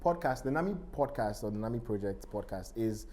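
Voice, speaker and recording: Animated Ghanaian man, playful. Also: fairly low pitch, brisk. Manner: animated, laughs easily, playful. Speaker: voice actor and audiobook narrator. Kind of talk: casual interview chat.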